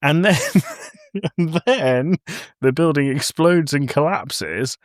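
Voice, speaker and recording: A man co-hosting a podcast, telling things in an animated, casual conversational way. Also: fairly high pitch, takes it slowly, no echo, studio quality. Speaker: podcast host. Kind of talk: casual film discussion.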